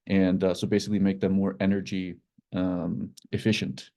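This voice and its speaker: A man from Iceland, explaining his work informally as a startup co-founder, in a halting way with repeated words. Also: low voice, flat delivery, gravelly, dark tone. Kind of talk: informal explanatory talk about own work.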